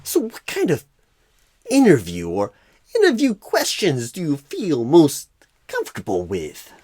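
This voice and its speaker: Clueless recruiter, played by a man, ASMR roleplay: slow, husky, rambling, odd pauses and stresses. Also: a little high, wildly swooping pitch, darkish tone, dry recording, heavily acted. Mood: probing, rambling. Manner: halting phrasing with odd pauses and swooping stresses.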